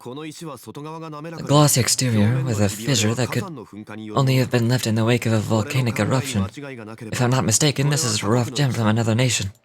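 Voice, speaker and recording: An actor playing a composed, courteous, erudite gentleman consultant in a fantasy game, speaking formally and with measure. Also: medium pitch, a little fast, a crowd in the background, close to an everyday voice. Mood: analytical.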